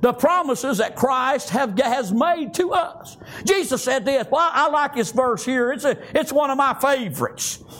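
A man's voice: extremely high pitch, animated, unhurried, hardly any pauses, a bit bright. An older man with a Southern American accent preaching a fervent, folksy church sermon, punctuated with frequent amens and exclamations of praise.

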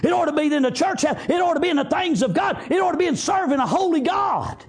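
High-pitched shouting Southern preacher man. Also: animated, fast, creaky. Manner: exhortatory, shouting, peppered with praise interjections. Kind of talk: fervent revivalist sermon.

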